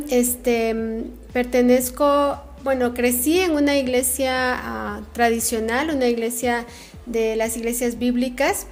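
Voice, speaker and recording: A middle-aged woman with a Guatemalan accent speaks earnestly as an interview guest. Her voice sits a little high, and she stops to search for words often, filling the gaps with "este" and "eh". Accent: Guatemalan Spanish. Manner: earnest, frequent fillers like "este" and "eh". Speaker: missionary guest. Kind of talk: interview answers.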